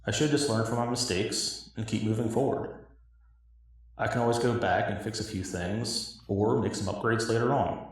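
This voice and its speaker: A man's voice: on the low side, fairly even intonation, normal speed, recorded from a distance. A man delivering a dramatic scripted monologue in a hobbyist game parody. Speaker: cosplay builder acting in own parody cutscenes. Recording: echoey, roomy recording.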